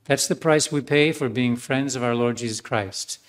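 A man's voice: medium pitch, normal speed. Priest guy giving a sermon, storytelling, lots of ums, some sarcasm. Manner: storytelling with ironic asides, conversational with many fillers.

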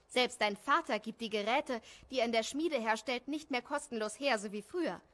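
A woman plays a demanding young village wife in an audio story. Her voice is high, and she bosses her husband around. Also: normal speed, fairly bright tone, close to an everyday voice. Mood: insistent.

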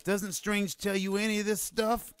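An actor playing a snide, condescending non-human informant who scorns humans in a radio drama. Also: male voice, high-pitched, little pitch movement, normal speed, clear, resonant tone, natural voice. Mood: scornful, mocking. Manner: sarcastic, condescending.

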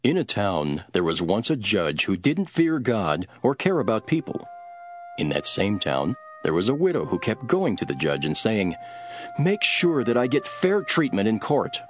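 A solemn teacher of parables in a scripture audio drama. The voice sits fairly low and moves expressively as he teaches in a measured way. Soft music runs behind him. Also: sing-song. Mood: solemn storytelling.

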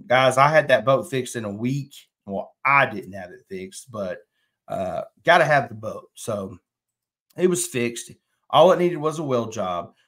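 A man's voice: mid-range voice, lively intonation, a little slow, a bit bright. A chatty man in his thirties with a drawl hosts a casual fishing live stream. He reads viewer comments aloud and talks back to them, recorded on a desk webcam in a plain indoor room. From Texas. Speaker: fishing channel host.

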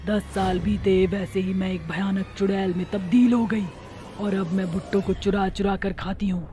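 A woman voicing a vengeful ghost witch in a horror cartoon, threatening and aggrieved, with a slow delivery. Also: mid-range voice, steady tone, dark tone, faint music behind, lightly acted. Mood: bitter. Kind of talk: Hindi horror cartoon dialogue.

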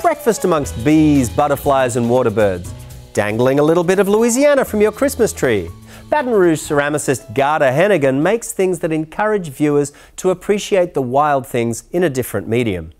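A middle-aged man hosting an arts program, presenting a script from a studio set with a warm, lilting cadence. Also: on the high side, big pitch swings, normal speed. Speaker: host. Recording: music bed under the voice.

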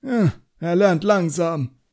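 A man voicing a gruff, awkward, dismissive wizard master in an audiobook. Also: sits high, expressive, slow, a little mellow, voice pushed up, heavily acted.

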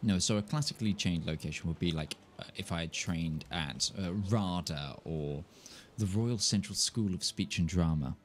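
A man's voice: sits low, normal speed, slight background noise. A young man from southern England with a mild Kentish accent and a nasal voice, rambling and joking at himself as he chats to viewers while playing a game at home. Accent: southern English, mild Kentish. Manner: rambling, self-mocking, laughs often. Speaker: streamer. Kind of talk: casual live-stream chat while playing. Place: indoor home room, gaming chair. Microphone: desk mic in front of him.

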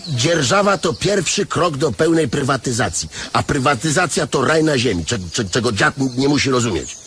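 A man's voice: medium pitch, expressive, moderate pace, a bit bright, a little hiss or hum, close to an everyday voice. An actor playing a bossy, smug male capitalist who lectures pompously and stumbles over words, in a radio comedy drama.

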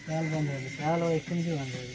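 A salesman in a clothing store giving a customer short, soft answers from a little way off the mic. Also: a little high, steady tone, fast talker, low-quality recording. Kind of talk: casual talk with a customer. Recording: off-mic, with loud music in the store.

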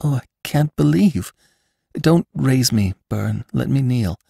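A man's voice: mid-range voice, animated, slow pace, creaky, slightly rough, no echo, studio quality, voice pushed up, exaggerated voice. A man doing a trembling, wondering girl. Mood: pleading. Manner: soft, trembling, pleading. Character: fragile, wondering young woman.

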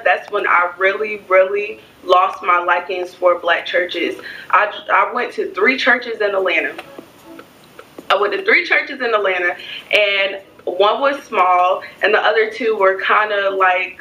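Young woman, forceful bright voice, casual vlog storytelling, emphatic repetition, echoey room sound. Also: mid-range voice, distant mic, a little hiss or hum. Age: young adult. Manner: emphatic, repeats phrases for stress. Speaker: vlogger. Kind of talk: casual personal storytelling to camera. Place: home kitchen, indoors.

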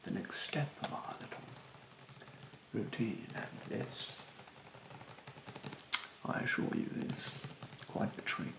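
A young man playing a rude, condescending facial therapist in an ASMR roleplay, speaking softly and breathily in a haughty, halting manner. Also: lowish voice, animated, reverberant, background noise, exaggerated voice. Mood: snide, dismissive. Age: young adult. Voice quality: soft, breathy, partly whispered. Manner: haughty, halting, drawn-out delivery. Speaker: ASMR performer playing a beautician. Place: bedroom, indoors.

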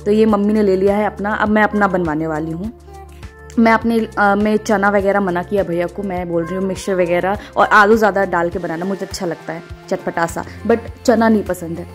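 A young woman narrates her travel vlog in an informal, chatty style. Her voice sits at an ordinary female pitch and speaks straight to the viewers. Music plays behind her. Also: steady tone, brisk. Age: 20s. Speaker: travel vlogger. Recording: music under the voice. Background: background music.

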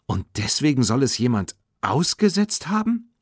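An earnest, caring young German girl who is quick to get indignant, played by a man in a children's audiobook. The intonation is very lively and the sound is dry. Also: mid-range voice, breathy edge, no echo, pitched above the performer's own voice. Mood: puzzled. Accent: standard German. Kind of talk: children's audiobook character reading.

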